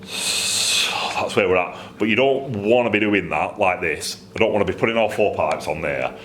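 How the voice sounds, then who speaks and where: A man's voice: on the low side, normal speed, fairly bright tone, a little hiss or hum. A man with a Northern English accent talking casually and off the cuff in a workshop video, rambling and thinking aloud in colloquial speech. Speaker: custom exhaust fabricator presenting his own workshop video. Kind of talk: casual, unscripted workshop vlog.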